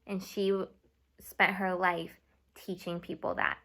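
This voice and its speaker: A young woman teacher giving a video lesson to children, speaking slowly and clearly and switching between English and Spanish. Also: fairly low pitch, flat delivery, fairly bright tone. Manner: slow and clear, mixing English and Spanish, asks students to repeat. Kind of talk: classroom video lesson for kids.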